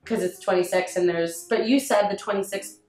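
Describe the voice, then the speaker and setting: A young adult woman chatting casually about anime in an excitable, giggly way. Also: mid-range voice, a little fast. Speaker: panelist, amateur fan-dub voice actress. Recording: echoey room, faint music underneath.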